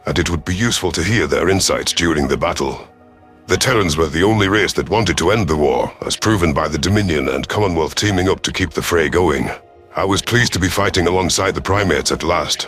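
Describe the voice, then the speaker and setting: A deep-voiced, gruff reptilian war commander acted by a man narrates a sci-fi audio story. He is proud, stern and sardonic, with faint music beneath the voice. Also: a bit bright. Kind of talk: first-person scripted audio story narration.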